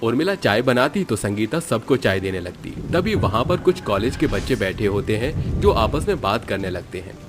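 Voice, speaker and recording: A man narrating an animated moral story in a steady, matter-of-fact way. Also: on the low side, moderate pace, hardly any pauses, noisy background.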